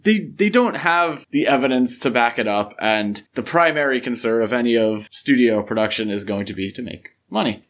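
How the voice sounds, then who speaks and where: A man's voice: medium pitch, expressive, moderate pace, few pauses, dull audio. Man explaining films on a podcast. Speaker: podcast host. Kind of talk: casual film discussion.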